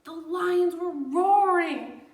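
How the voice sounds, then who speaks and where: A woman's voice: extremely high pitch, drawn-out words. A woman reading a picture book aloud to young children as a teacher, expressively, explaining as she goes and gasping for effect. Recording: echoey room, distant microphone.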